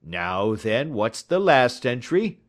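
A man voicing a gruff, hot-tempered poultry salesman in an audiobook, blustering, snappish and emphatic. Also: mid-range voice, very animated, takes it slowly, voice pushed up. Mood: smugly triumphant. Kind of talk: audiobook character dialogue.

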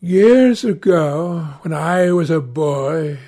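A man voicing a vain, bitter young gentleman, passionate and accusing, in a theatrical, intense audiobook style. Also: on the high side, animated, very slow, heavily acted. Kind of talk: dramatic audiobook character voice.

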